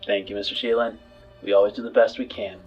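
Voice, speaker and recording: A man plays a loyal informant in a sci-fi roleplaying game. His fairly low voice is casual and matter-of-fact, with faint music behind it. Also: little pitch movement, fast, breathy edge, dull audio.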